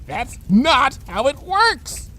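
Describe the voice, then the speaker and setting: A man voicing an anxious, exasperated female pony with a shrill, scolding manner in a story reading. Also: sing-song, slow, sharp tone, exaggerated voice. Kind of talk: animated fan fiction reading.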